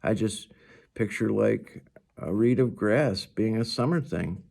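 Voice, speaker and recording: A reserved young schoolboy from a storybook, read by a man, answering shyly and a bit hesitantly. The delivery is slow, with a gravelly edge. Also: fairly low pitch, darkish tone, exaggerated voice. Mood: tentative, friendly.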